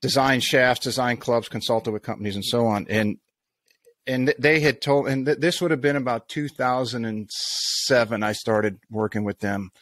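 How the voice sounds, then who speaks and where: A man's voice: medium pitch, steady tone, moderate pace, a bit bright, dry recording. A man hosting a golf podcast, talking in long rambling sentences with many restarts and asides. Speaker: podcast host. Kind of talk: casual interview chat.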